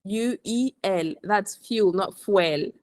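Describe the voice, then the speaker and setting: A woman's voice: medium pitch, slow pace, a little mellow, dry recording, clean studio sound. A woman working as an English teacher in a one-to-one lesson, instructing, correcting and enunciating words for repetition. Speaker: English teacher coaching aviation English.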